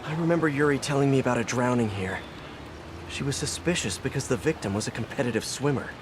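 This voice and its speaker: A man's voice: medium pitch, fast talker, noisy background, close to an everyday voice. An actor playing a young, quippy superhero investigator with an American accent, earnest and wry as he thinks aloud in a video game. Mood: thoughtful. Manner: conversational, wry, muses to himself. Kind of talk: video game voice acting, monologue.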